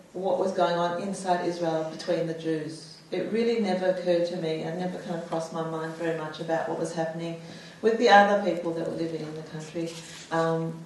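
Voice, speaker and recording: A woman's voice: fairly low pitch, a little mellow, a little hiss or hum. Woman speaking hesitantly in an echoey hall. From United States. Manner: hesitant, many fillers and restarts. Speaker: panel speaker. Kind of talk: personal testimony at a public talk. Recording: echoey hall, mic far off.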